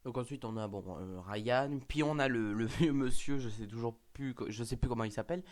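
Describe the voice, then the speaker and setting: Male host, mid pitch, slightly congested, casual and hesitant. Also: a little fast, a little mellow. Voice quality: hesitant, many fillers and restarts. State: has a cold. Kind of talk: casual fan discussion.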